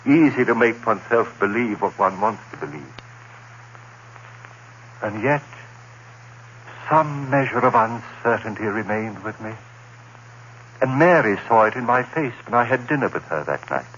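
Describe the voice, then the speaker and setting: A haunted man sinking into dread in an old radio horror drama. His lowish voice is forceful and widely swinging, with brooding, dramatic pauses. The recording is dull and slightly hissy. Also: animated. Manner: dramatic, brooding, halting delivery. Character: troubled, haunted man narrating his own descent into dread.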